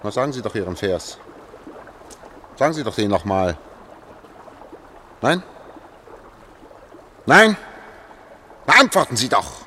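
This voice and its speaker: Radio drama: mocking, embittered man, highly animated delivery, faint background noise. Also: takes it slowly, pauses often, exaggerated voice. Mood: mocking, insistent. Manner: taunting, erratic, emphatic. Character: bitter, mocking man lost in darkness underground. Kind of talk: German radio play acting.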